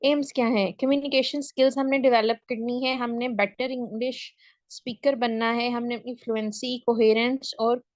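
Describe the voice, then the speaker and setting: A woman's voice: a little high, fairly even intonation, moderate pace, a little mellow, no echo. Woman teaching an online English class. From Pakistan. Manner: explains step by step, checks in often. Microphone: video call.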